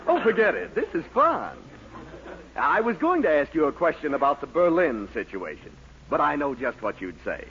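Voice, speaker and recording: Radio comedy editor: slyly polite, exasperated, hugely animated intonation, dull 1940s audience recording. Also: male voice, a little high, moderate pace, a faint crowd, a little hiss or hum. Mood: wry, exasperated. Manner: theatrical, wry, hesitant politeness. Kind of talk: 1940s radio sitcom acting.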